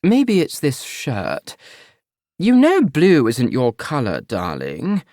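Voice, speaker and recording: A simpering, genteel mother in an audiobook, as a male reader puts her on. She draws her words out slowly and sweetly, her pitch rising and falling a great deal. The sound is dry and close. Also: wildly swooping pitch, a little mellow, dry recording. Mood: fussing. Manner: simpering, slow and cloying. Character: genteel fussing mother, older woman.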